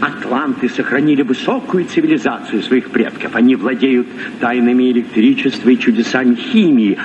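An actor playing a proud, dry scientist in a Soviet radio drama, speaking in a theatrical, emphatic, pompous way. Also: male voice, medium pitch, moderate pace, darkish tone, distant mic, lightly acted. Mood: lecturing. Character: dry, proud old scientist, discoverer of Atlantis. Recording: noisy, muffled old radio recording.

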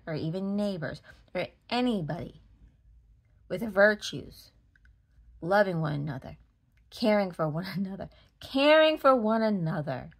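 A woman in her forties with a Boston accent, giving a casual, emphatic opinion rant to camera in a frustrated way. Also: on the low side. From Massachusetts, United States. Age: 40s. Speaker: vlogger. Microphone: phone at arm's length.

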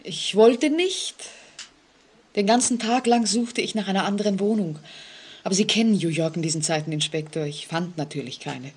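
An actress playing an anxious, distrustful woman in an old German radio play, speaking haltingly with suspenseful drama. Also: medium pitch, breathy edge, natural voice. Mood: weary dread. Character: frightened, haunted woman living alone, anxious and distrustful. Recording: vintage 1940s radio recording.

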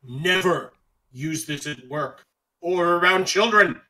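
A man's voice: sits high, slow, sharp tone, low-quality recording. A man on a podcast, bantering casually and reading text aloud. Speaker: podcast reader.

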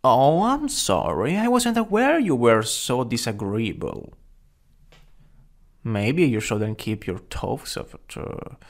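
A jealous, possessive supervillain from an ASMR roleplay , acted by a man, speaks with an Italian accent in a slow, teasing drawl. His creaky voice swings widely in pitch as he taunts, and it slips at times into a whisper. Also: sing-song. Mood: jealous, needling.

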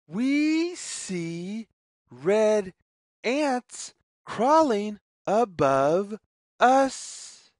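Very slow, lively woman reading to children. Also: mid-range voice, dry recording, clean studio sound. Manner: deliberate, sing-song, pausing between phrases. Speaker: storyteller reading aloud to children. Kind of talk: slow read-aloud of a children's picture book.

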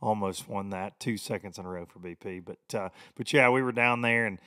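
Hoarse Southern podcast host, forties, folksy rambling, close dry studio mic. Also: male voice, fairly low pitch, a little fast, sharp tone, no room sound. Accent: Southern American. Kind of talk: casual podcast monologue. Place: small home studio. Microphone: studio mic with headphones.

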